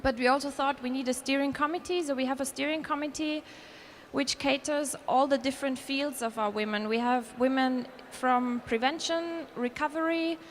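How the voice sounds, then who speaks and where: High-voiced Austrian woman presenting. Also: moderate pace, slight background noise. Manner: earnest, with restarts and self-corrections. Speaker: conference presenter. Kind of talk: conference presentation. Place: conference hall.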